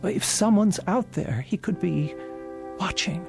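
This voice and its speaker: A woman's voice: fairly low pitch, measured pace, creaky, music in the background. An older woman playing an anxious, querulous elderly invalid in a radio drama, speaking formally and insistently. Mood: fretful, anxious.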